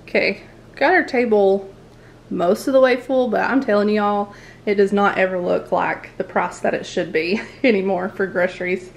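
A woman's voice: medium pitch, steady tone, normal speed, gravelly. A woman, chatting casually through a grocery haul at home, rambling and laughing often. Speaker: family vlogger. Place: home dining room, indoors.

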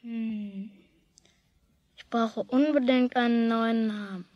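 A woman's voice: a little high, steady tone, slow pace, no room sound, muffled recording. A woman playing a stubborn, outspoken young boy in a children's radio play, with a blunt, grumbling manner. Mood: pondering, matter-of-fact.

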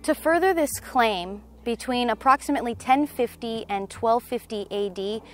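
A young woman presenting scripted documentary narration in a measured, explanatory manner. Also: on the high side, soft background music. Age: young adult.

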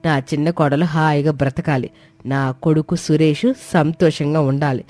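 A woman's voice: low voice, fairly even intonation, normal speed, warm tone, natural voice. A woman voicing a bossy, cruel older mother-in-law in a dramatised moral story, sneering and commanding. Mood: cold, scornful. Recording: faint music behind.

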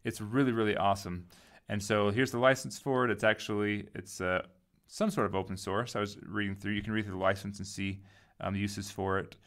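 A man giving a casual software tutorial, informally thinking aloud as he goes. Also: fairly low pitch, fairly even intonation, fairly quick. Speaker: tutorial host.

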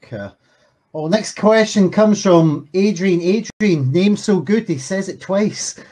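A man hosts a question session on a video call, his voice sitting fairly high for a man. He reads each audience question slowly, with frequent ums and restarts. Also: mellow. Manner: hesitant, filler-heavy, reads questions slowly. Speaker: webinar host reading audience questions. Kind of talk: hosting a webinar Q&A.

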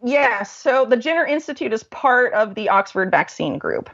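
A woman's voice: on the high side, big pitch swings, moderate pace, gravelly, fairly bright tone, dull audio. A woman being interviewed as a researcher, explaining her findings informally and at length.

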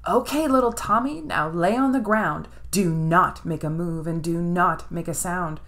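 A woman voicing an excited, bossy big-sister girl in a children's storybook, exclaiming and coaxing. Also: fairly low pitch, a little slow. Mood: playfully bossy.

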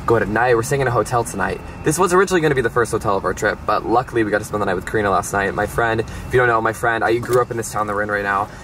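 A man's voice: lowish voice, racing, few pauses, a bit bright, slight background noise. Upbeat young man vlogging at arm's length. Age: young adult. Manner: upbeat, enthusiastic, chatty with many fillers. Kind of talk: travel vlog talking to camera. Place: outdoors on city streets and in a car. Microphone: handheld camera at arm's length.

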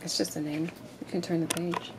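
A woman reading a children's picture book aloud to a child in an expressive storytelling way. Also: lowish voice, brisk. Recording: noisy amateur home recording.

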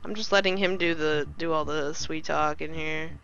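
A man in voice chat with friends, giving quick tips on the game's controls. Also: on the high side, fairly even intonation, gravelly, sharp tone, lo-fi sound, a little hiss or hum. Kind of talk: casual gaming chat.

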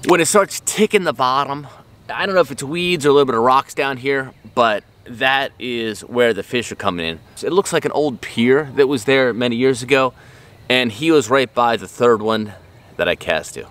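A man's voice: mid-range voice, normal speed, a bit bright. A middle-aged man hosting a fishing vlog in casual, enthusiastic, folksy talk, recorded out on an open boat. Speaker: fishing show host.

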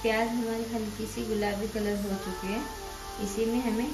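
A woman hosting a cooking channel, explaining each recipe step in a plain, steady instructive voice-over. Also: a little high, moderate pace, roomy sound, loud music behind the voice, poor audio.

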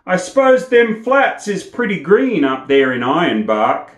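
A man's voice: fairly high pitch, big pitch swings, a little slow, voice pushed up. A man voicing a small, flashy, teasing Sydney barber in a recited comic poem. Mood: sly. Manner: sly, teasing. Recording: roomy indoor echo.

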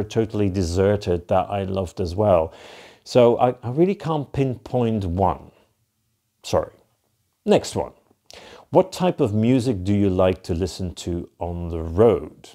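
A man's voice: lowish voice, warm tone. A Flemish man in his fifties, answering viewer questions in a casual, rambling way on a lapel mic in a cosy living room. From Belgium (Flanders). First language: Dutch. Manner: chatty, filler-heavy, repeats "to be quite honest". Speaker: van life vlogger. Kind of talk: casual Q&A to camera.

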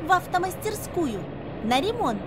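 Female children's cartoon narrator, high voice, simple instructional read, clear vehicle noise and clicks behind. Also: big pitch swings, normal speed.

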